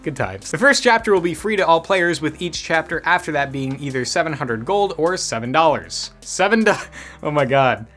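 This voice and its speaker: A man's voice: on the high side, animated, moderate pace, fairly bright tone, soft background music. A man hosting a gaming news show in a casual, conversational way, dropping wry asides as he goes.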